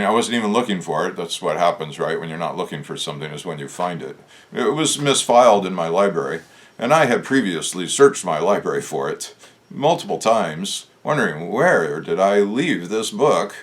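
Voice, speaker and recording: A man with a hoarse voice, talking in a casual, reflective way as a show host, pausing often with "uh" as he thinks aloud. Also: low-pitched. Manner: musing, unhurried, with frequent "uh" pauses. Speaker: channel host discussing Masonic lore.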